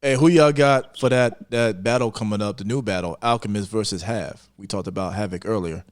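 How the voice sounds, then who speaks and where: A man's voice: fairly low pitch, fairly quick. A man on a podcast panel, chatting casually and insistently, often repeating himself. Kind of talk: casual hip-hop debate.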